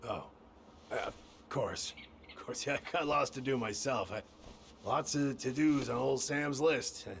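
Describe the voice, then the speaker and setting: Game role: awkward, kindly older man, halting delivery, creaky voice. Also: medium pitch, slight background noise. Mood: flustered, eager. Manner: halting, fumbling, repeating himself. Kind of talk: naturalistic video game acting.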